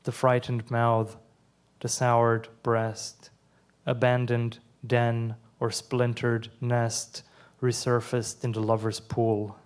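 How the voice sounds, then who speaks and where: A young adult man from Iran, whose first language is Persian, reads his poems aloud in a measured way, with quiet asides between them. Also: mid-range voice, completely flat delivery. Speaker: poet. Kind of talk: live poetry reading.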